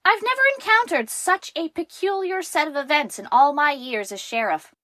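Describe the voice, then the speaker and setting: An actress playing a chatty, jovial long-serving sheriff in an audio play. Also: female voice, high voice, normal speed, no room sound. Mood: jovial, amazed. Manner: animated, chatty.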